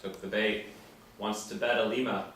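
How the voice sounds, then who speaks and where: Man acting hard male spy, blunt, lowish pitch, strongly echoing room, screenplay read. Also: little pitch movement, normal speed, fairly bright tone, natural voice. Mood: matter-of-fact. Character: terse, hard male spy posing as a militant. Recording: very echoey room, distant mic, faint noise.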